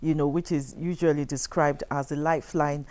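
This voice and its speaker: A woman's voice: low voice, fairly even intonation, normal speed, a little mellow. A woman with a Nigerian accent, interviewing conversationally as a television host. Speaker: television talk show host.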